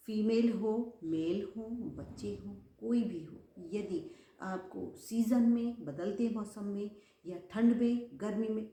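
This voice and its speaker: A woman's voice: medium pitch, mellow, reverberant. A woman YouTuber giving home remedy tips in a chatty way, repeating phrases for emphasis and addressing viewers as friends. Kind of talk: casual instructional talk to camera.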